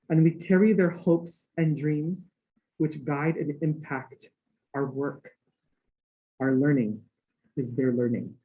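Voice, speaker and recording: A man from Hawaii lecturing as a guest speaker, earnest and rhythmic, now and then dropping a playful aside. His voice sits a little high for a man yet sounds mellow and warm rather than bright. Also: low-quality recording. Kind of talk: academic talk.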